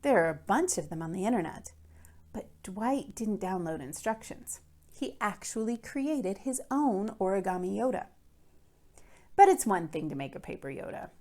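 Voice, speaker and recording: Woman reading as an earnest, expressive middle-school boy narrator. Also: medium pitch, close to an everyday voice. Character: earnest, fretful middle-school boy telling his case. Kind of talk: first-person children's book read aloud.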